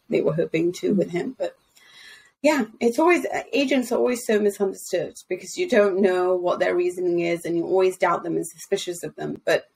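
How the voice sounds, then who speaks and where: A woman's voice: fairly low pitch, creaky, a little mellow, husky, poor audio. A woman in her thirties co-hosting a podcast over a video call, musing aloud and asking open questions. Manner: musing, asks open questions, laughs easily. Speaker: podcast co-host. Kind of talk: casual podcast discussion. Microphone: earphone mic on video call.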